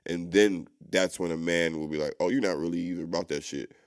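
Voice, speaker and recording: A man's voice: low-pitched, steady tone, fairly quick, smooth. A man co-hosting a casual discussion, arguing his points in a rambling, emphatic way. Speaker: podcast co-host.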